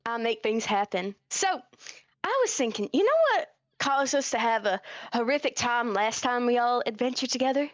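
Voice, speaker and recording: A woman voicing a chatty, sly clawed rogue in a tabletop game, halting and evasive as she talks. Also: a little high, expressive, no echo, natural voice. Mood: coy, halting. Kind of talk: in-character tabletop roleplay.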